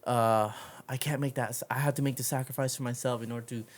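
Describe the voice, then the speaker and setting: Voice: mid-range voice, fairly even intonation, quick pace. An interview host chatting casually, with an affirming and enthusiastic manner of responding and asking questions.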